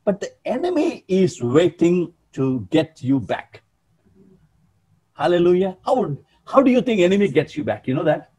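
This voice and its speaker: An older man in his sixties preaches to a congregation in a fired-up, emphatic manner, his voice a little higher than usual and slightly husky. He sounds like he is talking into a webcam on a video call from a small room. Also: normal speed. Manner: emphatic, animated, gesturing, calling out to listeners. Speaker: pastor. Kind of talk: sermon.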